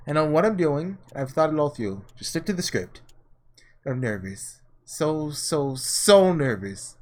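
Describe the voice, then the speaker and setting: A man's voice: on the high side, big pitch swings, a little slow, a little mellow. Man reading as a nervous, determined young heroine. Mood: nervous, resolved.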